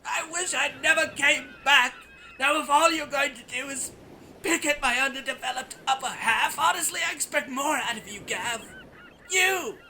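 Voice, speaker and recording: Man acting a touchy castaway, piercingly high. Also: moderate pace, pushed and bright, a little hiss or hum, heavily acted, rough recording. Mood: indignant. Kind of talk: comedic audio play acting.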